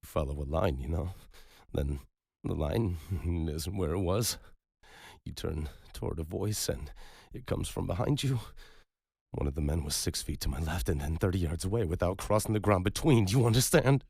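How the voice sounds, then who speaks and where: A man voicing a wounded male hunter in an audiobook, speaking haltingly but insistently. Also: low-pitched, lively intonation, gravelly, a little mellow, husky, dry recording, clean studio sound, voice pushed up, exaggerated voice.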